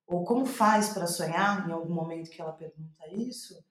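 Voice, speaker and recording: A woman's voice: fairly low pitch, normal speed. Thoughtful Brazilian woman in an echoey room. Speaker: playwright being interviewed. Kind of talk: reflective podcast conversation. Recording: roomy, echoing sound.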